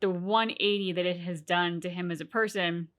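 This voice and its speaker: A woman co-hosting a game reaction video, talking in an animated, rambling way with many restarts. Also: lowish voice, moderate pace. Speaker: co-host of a game reaction channel. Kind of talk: casual reaction chat.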